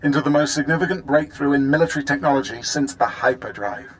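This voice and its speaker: A man playing a businesslike, pragmatic Imperial official in a video game, giving a measured briefing. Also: mid-range voice, a bit bright, natural voice, poor audio. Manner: measured, matter-of-fact briefing. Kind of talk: dramatic video game acting.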